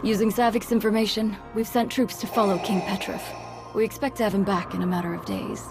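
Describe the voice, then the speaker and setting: A composed female senator from a video game played by an actress. She is formal and measured in a mid-range voice, with loud music underneath. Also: medium pitch, vocal fry, lightly acted.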